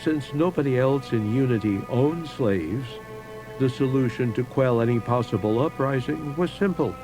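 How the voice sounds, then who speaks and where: A man giving a measured, solemn scripted narration of eerie local history, like a documentary host. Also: mid-range voice, poor audio. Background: music under the voice.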